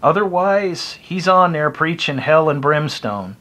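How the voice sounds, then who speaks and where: A man's voice: on the high side, animated, measured pace, a bit bright. A middle-aged American man talking casually and bluntly to camera, with a wry, opinionated manner. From United States. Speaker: hobbyist video blogger. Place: small indoor room by a window. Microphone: phone or webcam close to the face.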